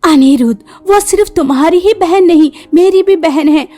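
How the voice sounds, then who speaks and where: A woman's voice: very high-pitched, normal speed, dark tone. A woman voicing a kind, self-sacrificing young tailor sister-in-law in a cartoon, caring and earnest. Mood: earnest, humble. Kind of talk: cartoon story dialogue. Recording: music behind the voice.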